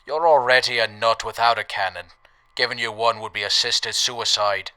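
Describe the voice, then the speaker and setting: A man's voice: medium pitch, fairly even intonation, normal speed, sharp tone, smooth. A man playing a grumpy aging shopkeeper in a post-apocalyptic radio drama, sardonic and curt. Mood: irritated, threatening. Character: gruff, grumpy aging male wasteland shopkeeper.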